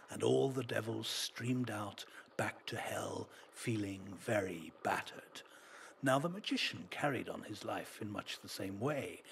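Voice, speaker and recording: Guy with a lowish voice reading a folk tale, dramatic and deliberate, noisy background. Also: gravelly, a bit bright. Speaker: storyteller.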